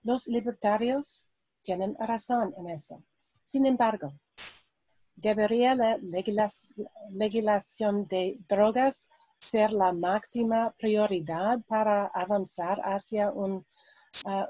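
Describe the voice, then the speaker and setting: A woman hosting an interview in Spanish, a language she is still learning, speaking slowly with many hesitations. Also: medium pitch, warm tone, no room sound, rough recording. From India. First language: not Spanish. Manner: slow, hesitant, many ums and ahs. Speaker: host. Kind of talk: interview, reading prepared questions then chatting.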